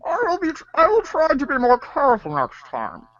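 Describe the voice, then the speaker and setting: A woman playing an excitable young game player in a theatrical, exclamatory fan script reading. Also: sits high, brisk, dry recording, lo-fi sound, full character voice. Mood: earnest, careful.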